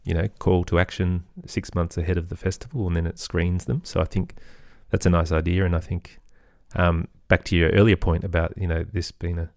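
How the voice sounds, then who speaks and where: A man with an Australian accent, talking as an interview guest in an agreeable, conversational way with softened phrasing. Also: low-pitched, level tone, a little fast, few pauses, no echo, clean studio sound. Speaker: podcast guest, musician and composer.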